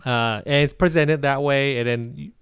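A man co-hosting a casual podcast chat. He recounts things in a halting way, with many restarts. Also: mid-range voice, fairly even intonation, a bit bright, smooth, no echo, clean studio sound. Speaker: podcast co-host.

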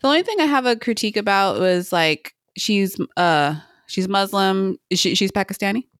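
A woman's voice: mid-range voice, lively intonation, normal speed, a bit bright, clear, resonant tone, no echo, studio quality. A woman co-hosting a podcast in casual chat, thinking aloud in loose, hesitant phrases. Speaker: podcast co-host.

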